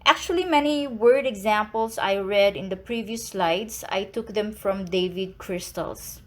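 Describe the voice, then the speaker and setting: A woman with a Filipino accent lectures on English sounds, pronouncing letters and sounds one at a time. She talks very slowly and deliberately at a mid pitch, like a teacher going over slides. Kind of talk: slow, deliberate classroom lecture.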